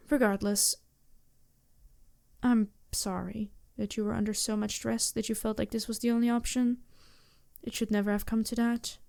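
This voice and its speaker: A woman plays a regal female demon princess in an intimate ASMR roleplay. The voice sits fairly high but stays mellow and very close and dry. Every phrase is unhurried and measured, imperious with compassion underneath. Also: flat delivery, vocal fry, no echo. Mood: sympathetic, earnest. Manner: deliberate, softly commanding, measured.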